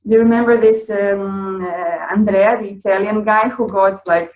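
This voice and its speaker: A woman from Croatia with a Croatian accent, a teacher adding remarks to a conference talk, speaking haltingly with many fillers. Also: mid-range voice, fairly even intonation, a little mellow, echoey room, low-quality recording. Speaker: teacher and co-presenter.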